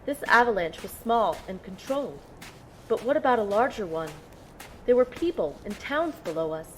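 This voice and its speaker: A woman plays a young, resolute team medic telling her own story in an audio drama. Her voice sits at an ordinary female pitch, with faint noise behind it. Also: sing-song, moderate pace, a bit bright, rough recording. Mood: tense, reflective. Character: determined young female field medic and team operative narrating her mission. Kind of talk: first-person audio drama narration with dialogue.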